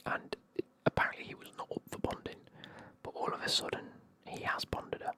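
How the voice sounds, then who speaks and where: A person with a British accent whispering a casual, chatty, sweary retelling of a book in a breathy ASMR style. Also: fairly quick.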